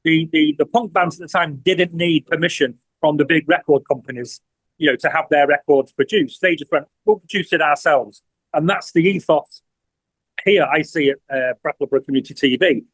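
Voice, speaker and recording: An English man in his sixties giving an earnest interview testimonial, often pausing and repeating words as he searches for them. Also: fairly high pitch, fairly bright tone, dry recording, rough recording. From England. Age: 60s. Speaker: community TV producer, award honoree.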